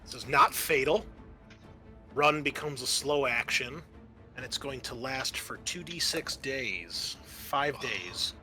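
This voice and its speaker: Bright-voiced man running a tabletop game. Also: mid-range voice, slow pace. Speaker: game master. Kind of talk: running a tabletop roleplaying game, explaining rules. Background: background music.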